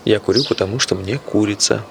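Stubborn young smoker, radio play: gravelly, quick, deadpan, clipped replies over noisy park ambience. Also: male voice, lowish voice. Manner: deadpan, terse. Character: laconic, stubborn young balding male smoker. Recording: noisy outdoor ambience with birds, insects.

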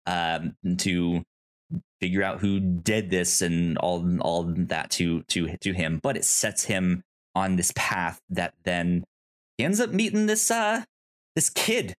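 Guy on a podcast talking movies, low voice, really slow, stammers n restarts words a lot. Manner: halting, stammering delivery with repeated word starts. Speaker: podcast host. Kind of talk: casual movie discussion recap.

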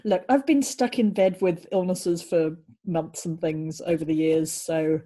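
A woman from Belgium with a mellow, soft-edged voice sits in as a guest for a relaxed chat. She talks in a gentle, cozy way, easygoing and quick to laugh. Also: on the low side. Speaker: interview guest, PhD student. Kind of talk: casual interview chat.

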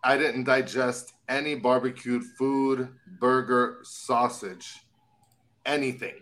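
A man's voice: medium pitch, fairly even intonation, slow pace, fairly bright tone. Laid-back man co-hosting a podcast. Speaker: podcast co-host. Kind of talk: casual podcast chat.

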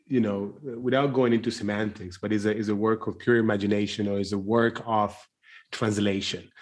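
Searching, halting man in reflective conversation. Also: lowish voice, steady tone. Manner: searching, halting, circling around his point.

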